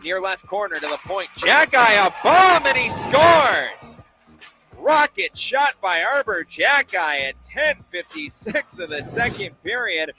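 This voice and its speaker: A man doing energetic live hockey play-by-play commentary, excited and ready to lift his voice at big moments. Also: high-pitched, a little slow, soft background music.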